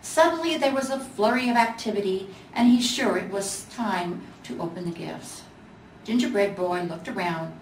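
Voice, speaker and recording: A woman's voice: mid-range voice, normal speed. A woman with an American accent narrating a children's storybook as a volunteer reader. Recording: echoey room, distant mic, faint noise.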